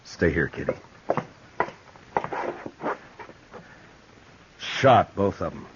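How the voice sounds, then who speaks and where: A low-voiced frontier lawman, grave and sparing with words, in vintage radio western style. The sound is muffled and clearly noisy, like an old broadcast with clicks. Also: expressive, normal speed. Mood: grave, tense. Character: sober, plain-spoken lawman of the Old West. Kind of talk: 1950s radio western acting.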